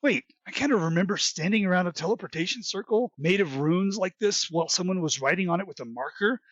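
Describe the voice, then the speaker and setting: A man's voice: high voice, quick pace, fairly bright tone, dry recording. A man playing an eager, scatterbrained little gnome musing aloud in a comedic audio skit, recorded with dull sound. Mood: curious.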